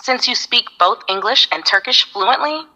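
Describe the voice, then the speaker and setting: Synthetic woman, AI assistant voice; bright tone, upbeat, evenly paced lists. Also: a little high, animated, normal speed, rough recording. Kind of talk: scripted advice reading.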